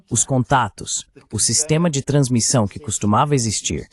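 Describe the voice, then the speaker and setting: A man speaking in a measured, explanatory way, as in a dubbed political discussion. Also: mid-range voice. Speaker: AI voice reading a translated political commentator.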